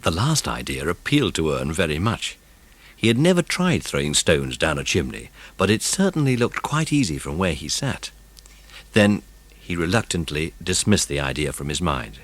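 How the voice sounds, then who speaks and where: A man's voice: deep voice, big pitch swings, moderate pace, fairly bright tone, dry recording. A man narrating a children's mystery story from a script, as in an audiobook.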